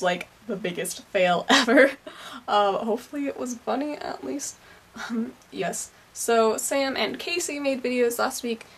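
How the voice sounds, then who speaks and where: Chatty teenage girl rambling to camera. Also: a little high. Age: teens. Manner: rambling, self-conscious, giggly, frequent fillers. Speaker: vlogger. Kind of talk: casual chat. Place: car while driving, then a bedroom.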